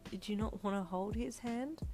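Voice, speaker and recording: Doting storybook dad, read by a woman: hushed, tender, coaxing, quietly amused, faint music behind. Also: medium pitch, normal speed, pitched above the performer's own voice, close to an everyday voice. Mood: tender, concerned. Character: gentle, doting young father, quietly amused.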